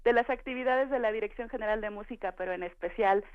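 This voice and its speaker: A woman's voice: mid-range voice, quick pace, narrow, tinny audio. A woman with a Mexican accent chatting as an interview guest in an effusive, courteous way, promoting events. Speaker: guest, orchestra administrator. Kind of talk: promotional radio interview chat.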